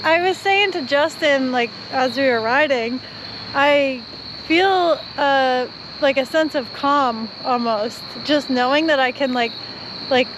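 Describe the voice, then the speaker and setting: A woman's voice: high-pitched, noisy background. A woman chatting casually on a vlog in an easygoing, giggly way. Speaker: vlogger.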